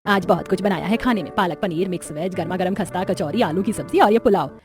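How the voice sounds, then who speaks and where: Woman acting middle-aged mother, caring, fretful, complaining, brisk, story cartoon, faint music. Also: fairly low pitch, pitched below the performer's own voice, close to an everyday voice. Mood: motherly.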